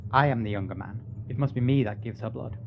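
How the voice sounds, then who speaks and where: A man's voice: lowish voice, fast, highs cut off, natural voice. Man playing an earnest younger doctor, noisy recording. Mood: earnest, questioning. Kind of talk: gothic radio drama acting. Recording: clearly noisy background.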